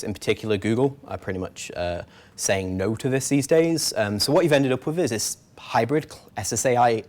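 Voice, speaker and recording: A man in his thirties giving a casual, wry tech meetup talk at a podium microphone. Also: fairly low pitch. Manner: casual, filler-heavy, wry. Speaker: conference speaker.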